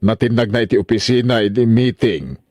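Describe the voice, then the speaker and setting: A man's voice: medium pitch, brisk, darkish tone, no room sound, studio quality, lightly acted. A man playing a married boss in a serial drama, with an Ilocano Filipino accent. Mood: defensive, uneasy. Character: married male boss, kindly but evasive husband. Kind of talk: Ilocano drama series voice acting.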